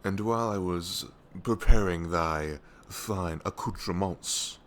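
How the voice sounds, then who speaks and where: A man playing a devoted, goofy young best friend in an ASMR audio roleplay, earnest and nervously lovestruck, with a soft velvety voice. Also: sits low, slight background noise. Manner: hesitant, halting, earnest.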